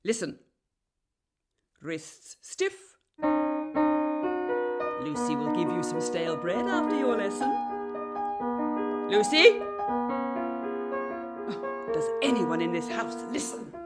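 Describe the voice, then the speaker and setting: A woman's voice: on the low side, very animated, slow pace, long pauses, over loud music. An actress playing a haughty, brittle, respectable 19th-century lady in a period radio drama, speaking precisely and archly. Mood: instructing, exacting. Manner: imperious, precise, arch, theatrical.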